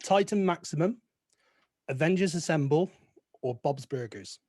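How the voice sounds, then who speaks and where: A man's voice: a little high, expressive, unhurried, darkish tone, no room sound. A man from England with an English accent, casually running a quiz as a guest and trading banter. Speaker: guest quizmaster.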